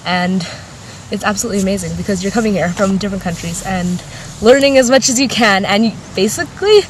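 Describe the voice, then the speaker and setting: A woman's voice: mid-range voice, fast. Teenage woman, effusive and chatty, filler-heavy, caught from far away over street noise. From Canada. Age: late teens. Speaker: student interviewee. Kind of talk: casual interview answer. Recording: distant mic, loud outdoor traffic noise.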